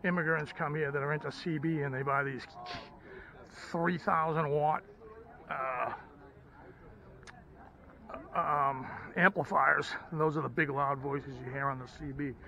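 A middle-aged American man giving casual, unhurried and enthusiastic vlog narration as a radio hobbyist. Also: on the high side, steady tone, gravelly, bright, forceful tone. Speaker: vlogger and ham radio hobbyist. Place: outdoors at a fairground. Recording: muffled outdoor camera audio.

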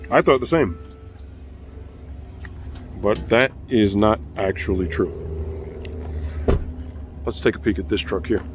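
A man's voice: fairly low pitch, slow, pauses often, faint music behind. A man in his forties, a truck driver vlogging, explaining things step by step to viewers while filming on a phone at arm's length, first outdoors by his truck and then in the cab. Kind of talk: casual explanatory vlog. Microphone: handheld phone at arm's length.

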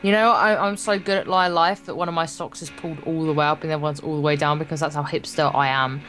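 A woman's voice: low voice, steady tone, quick pace, a bit bright, faint music behind. A woman hosting a Let's Play, reading visual novel text aloud with informal, jokey asides and the odd stumble. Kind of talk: reading game text aloud with casual banter.

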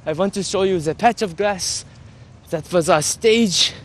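A man acting as a nostalgic young rock musician in a mockumentary, his voice on the high side. He reminisces in halting bursts, often tagging a phrase with a questioning "yeah?", out in the open air. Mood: excited, sentimental. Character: nostalgic former member of a fake Swedish rock band. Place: outdoors on a campus lawn.

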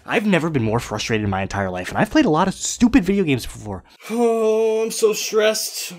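A young man giving energetic, exasperated gameplay commentary as a gaming YouTuber, with loud outbursts. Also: sits high, moderate pace.

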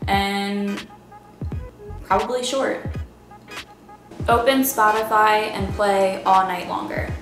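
A woman from the Baltimore area chats loosely to camera, her talk sprinkled with "like" and half-finished thoughts. Her voice sits in a mid range and bounces around an echoey room. Music plays clearly behind her. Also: mid-range voice, steady tone, slow pace, long pauses. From Baltimore area, Maryland. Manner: rambling, filler-heavy "like" talk. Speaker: vlogger. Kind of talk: casual chat to camera. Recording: roomy echo with music behind.

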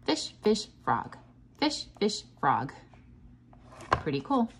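A woman's voice: mid-range voice, wildly swooping pitch, slow, background noise. A woman teacher leading a children's read-along lesson, encouraging, asking questions and chanting patterns aloud. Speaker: teacher reading a picture book to children. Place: indoors at a table.

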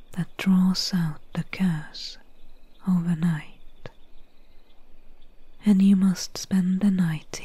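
Breathy, very slow swamp witch, acted by a woman. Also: fairly low pitch, no room sound, full character voice. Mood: hushed, businesslike. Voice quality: breathy, whispery. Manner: slow, unhurried, hushed, soothing. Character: calm, mercenary swamp witch healer, gently wry. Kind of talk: soft-spoken ASMR roleplay. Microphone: close microphone.

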